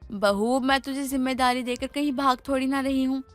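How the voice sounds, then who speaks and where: A woman's voice: sits high, steady tone, normal speed, soft background music, lightly acted. A woman playing an older mother-in-law and household matriarch in a dramatized moral story, speaking in an emphatic, homely, instructive way. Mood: trusting, persuasive.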